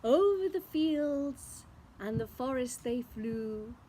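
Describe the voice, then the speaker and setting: A woman storyteller reading a picture book aloud in an expressive, theatrical way, drawing out words. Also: high voice, slight background noise. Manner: theatrical, with drawn-out words and sound effects. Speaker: children's storyteller.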